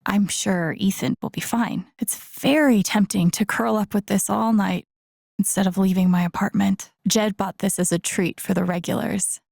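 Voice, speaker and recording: Young woman game heroine, low-key n wry, bit of vocal fry, super dry close sound, kinda thinking out loud. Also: fairly even intonation, normal speed, no echo. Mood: musing, casual. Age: young adult. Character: introspective young woman, gentle, wry, quietly curious, empathetic. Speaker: video game protagonist. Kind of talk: naturalistic game voice acting, inner-monologue remarks.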